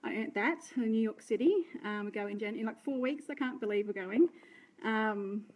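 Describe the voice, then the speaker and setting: Australian woman in her 30s, fairly high voice, a touch croaky, chatty live-video storyteller on a phone. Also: moderate pace, darkish tone, low-quality recording. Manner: chatty, rambling, self-deprecating, greets viewers. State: slightly croaky. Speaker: direct sales team leader. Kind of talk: unscripted live video talk. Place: indoor room by curtains. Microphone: phone held close, front camera.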